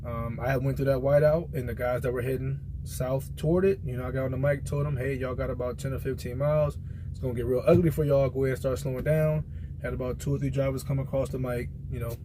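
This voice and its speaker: A man's voice: mid-range voice, fairly even intonation, quick pace, noisy background. An American man who drives trucks, talking casually and informally in a rambling vlog, telling stories from experience. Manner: conversational storytelling, informal. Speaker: truck driver vlogger giving a product opinion.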